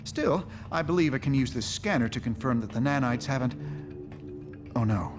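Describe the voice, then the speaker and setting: Game scientist hero male actor: analytical, worried, explanatory, mid voice over clear score. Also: mid-range voice, expressive, normal speed, music in the background, natural voice. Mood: dismayed. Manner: precise, explanatory. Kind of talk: dramatic video game cutscene acting.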